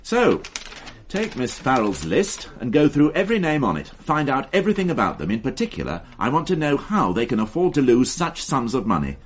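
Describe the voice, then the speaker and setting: An actor playing a sharp-tongued police inspector with a dry, sardonic, commanding manner in a radio drama. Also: male voice, mid-range voice, wildly swooping pitch, brisk, a little hiss or hum.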